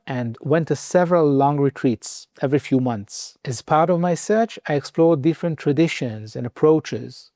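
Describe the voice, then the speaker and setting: A man in his forties from Israel, a native Hebrew speaker with an Israeli accent, telling his own story as a meditation teacher in calm, measured scripted narration. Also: a little high, no room sound, studio quality. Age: 40s.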